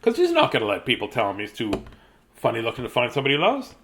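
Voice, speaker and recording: A man in his fifties reads children's bedtime picture books in an animated, playful, childlike way, with chatty asides. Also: mid-range voice, very fast, a little hiss or hum. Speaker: children's storyteller. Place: small decorated room indoors.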